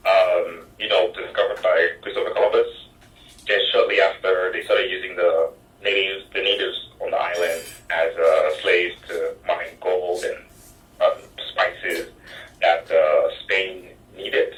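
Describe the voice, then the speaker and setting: Deep-voiced Haitian man on a phone line. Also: little pitch movement, fairly bright tone. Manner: halting, frequent ums. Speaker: guest, think tank director. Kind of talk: phone-in interview. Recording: roomy phone line from far off.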